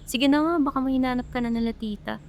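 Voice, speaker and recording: A woman's voice: high-pitched, fast talker. A woman with a Filipino accent playing a sweet, caring younger sister in an amateur radio drama. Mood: apologetic.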